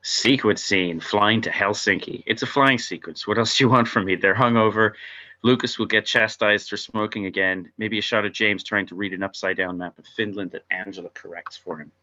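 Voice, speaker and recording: Stage-direction reader: male, very low, crisp-edged and bright, wry, on a slightly muffled recording. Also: fairly even intonation, a little fast. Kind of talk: scripted narration at a table read.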